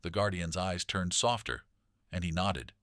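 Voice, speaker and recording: A man reading a children's story aloud in an expressive, dramatic storytelling manner. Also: low voice, a little slow, no room sound, clean studio sound. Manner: dramatic, animated delivery.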